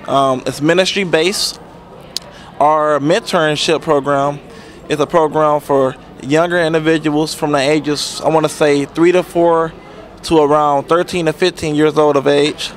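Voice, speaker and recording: A young man in his twenties talks about his mentoring work in a sincere, halting way. His voice sits on the higher side. He stops and restarts often and fills the gaps with ums. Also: steady tone. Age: 20s. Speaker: interviewee, youth mentor. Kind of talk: unscripted talk about community programs. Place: outdoors at a transit center.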